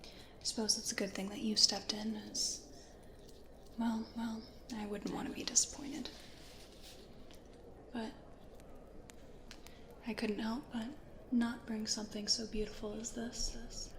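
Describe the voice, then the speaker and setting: A woman voicing a gentle, awkward, old-fashioned plant-loving ghost in an ASMR roleplay, soft, formal and half-whispered, in a roomy recording. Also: on the high side, little pitch movement, measured pace, pauses often. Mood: wistful, polite. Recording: roomy echo, faint noise.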